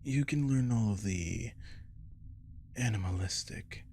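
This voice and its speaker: A man playing a captive werewolf in an ASMR roleplay, seductive, menacing and possessive, speaking in a slow, coaxing, teasing murmur close to the mic. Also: fairly low pitch, a little mellow, a little hiss or hum. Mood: menacing, persuasive. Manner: slow, coaxing, teasing, often dropping to whisper. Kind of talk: ASMR audio roleplay acting. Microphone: close microphone.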